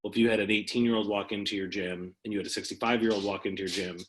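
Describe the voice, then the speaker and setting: A man's voice: fairly low pitch, little pitch movement, racing, a little hiss or hum, poor audio. A man taking part in a coaches' call, making his points in casual, explanatory discussion. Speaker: participant in a coaches' conference call.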